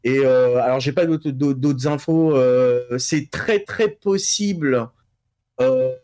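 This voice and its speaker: A man talking casually as a panel columnist on a geek news show, with halting delivery and drawn-out fillers. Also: medium pitch, dark tone. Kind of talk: casual news presentation and discussion.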